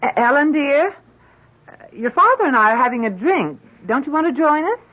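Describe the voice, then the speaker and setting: A woman's voice: high voice, big pitch swings, brisk. An actress playing an anxious, hesitant middle-aged mother who tries to soothe, in the manner of 1970s radio drama. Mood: coaxing. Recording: dull, lo-fi 1970s radio recording.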